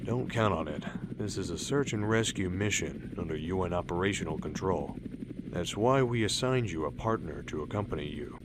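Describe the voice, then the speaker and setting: A man playing a stern older military commander in a radio drama, speaking in a measured, authoritative way. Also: low-pitched, animated, background noise. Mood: firm.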